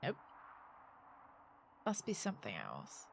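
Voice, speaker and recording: A woman's voice: very deep voice, huge pitch swings, slow pace, creaky, slight background noise. A woman game streamer giving casual, playful live commentary, thinking aloud and exclaiming as she plays.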